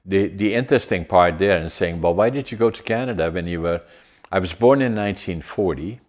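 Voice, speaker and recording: Dutch-accented older man, very deep. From Netherlands. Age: 80s. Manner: halting, with many fillers and restarts. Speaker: podcast host. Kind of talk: casual interview chat. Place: home room with large window. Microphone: video call.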